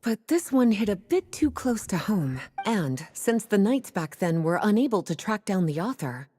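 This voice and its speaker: A woman voicing a composed, knowledgeable librarian in a video game, explaining things in a measured, storytelling way. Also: mid-range voice, sing-song, warm tone, no echo, studio quality. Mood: calm explaining. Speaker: librarian character. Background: game music.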